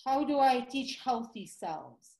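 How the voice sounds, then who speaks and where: A woman's voice: high voice, animated, slow pace, warm tone, roomy sound. A middle-aged woman with a Russian accent, a guest doctor telling her story eagerly in a call-in interview. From former Soviet Union.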